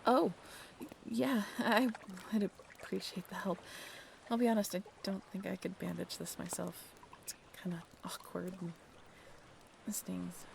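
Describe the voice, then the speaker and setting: A woman playing a shy, earnest half-mermaid in an intimate audio roleplay, hesitant and soft-spoken, often slipping into breathy whispers. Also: medium pitch, fairly even intonation, unhurried, background noise. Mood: tender, wincing.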